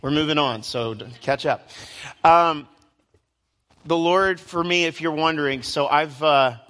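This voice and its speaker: Bright, chatty young man preaching. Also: on the high side, measured pace. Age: 20s. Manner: chatty, self-deprecating, jokes with the crowd. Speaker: guest preacher. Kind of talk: casual joking sermon to a youth service. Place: church hall before a live crowd.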